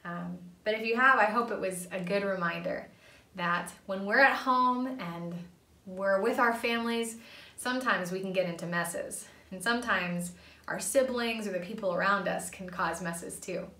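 A woman's voice: medium pitch, moderate pace, sharp tone. A young woman reading a children's storybook aloud expressively, recorded in a small room a little away from the microphone. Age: young adult. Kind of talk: expressive read-aloud narration. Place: small indoor playroom. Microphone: camera a few feet away. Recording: roomy, slightly distant microphone.

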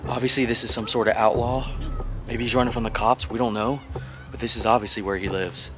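A man's voice: mid-range voice, steady tone, a little fast. A man in his thirties hosting a family vlog, urgently repeating commands as he films on a handheld camera. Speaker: vlog host, father. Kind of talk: excited family vlog chatter.

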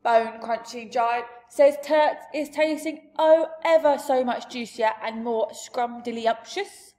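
A woman reading a storybook aloud, voicing a huge, jolly male giant who booms and shouts in mangled grammar. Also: slow pace. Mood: booming.

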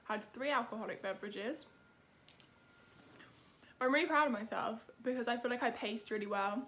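A woman's voice: fairly high pitch, moderate pace, fairly bright tone. A young British woman chatting casually to camera as a vlogger, informal and self-deprecating. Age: young adult. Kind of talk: casual vlog chat to camera.

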